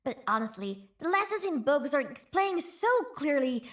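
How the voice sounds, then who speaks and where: A woman voicing a brash, scrappy young female gang leader in a video game, cocky and boastful, hushed and wistful when she remembers. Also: high voice, very animated, normal speed. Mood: earnest. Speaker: voice actor. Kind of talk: video game character voice lines.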